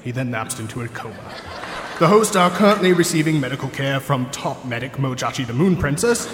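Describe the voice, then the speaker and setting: A man's voice: medium pitch, animated, a little fast, noisy background. A man reading a mock-solemn comedy announcement in a formal, tongue-in-cheek announcer's manner. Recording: echoey hall reverb, music behind.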